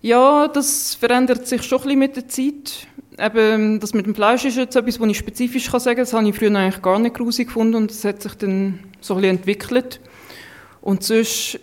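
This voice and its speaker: A woman in her thirties with a mixed Swiss German accent, speaking casually and reflectively as a podcast guest. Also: mid-range voice. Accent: Swiss German, mixed Lucerne, Thurgau and Zurich. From Switzerland. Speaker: interview guest, food scientist.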